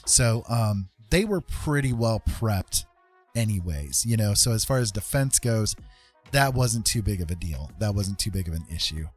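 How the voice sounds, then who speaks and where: An American man hosting a livestream, chatting casually and explaining things in an informal, halting, rambling way. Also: lowish voice, expressive, faint music behind. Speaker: livestream host chatting with viewers.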